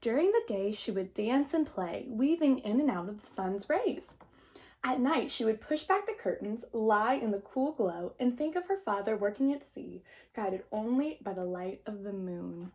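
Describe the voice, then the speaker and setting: A woman reads a children's storybook aloud. Her voice sits a little high, and she takes her time, gentle and expressive, slipping between narration and casual comments for her young listeners. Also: normal speed, low-quality recording. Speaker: storybook reader. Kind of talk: picture book read-aloud with casual asides to young listeners.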